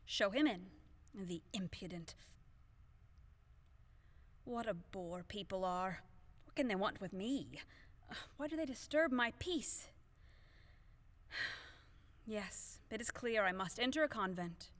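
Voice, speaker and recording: An actress playing a proud, grieving young widow in an audio play, theatrical and lamenting. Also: female voice, medium pitch, expressive, slow, long pauses, a bit bright. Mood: haughty, irritated. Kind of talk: audio play acting of a classic stage comedy.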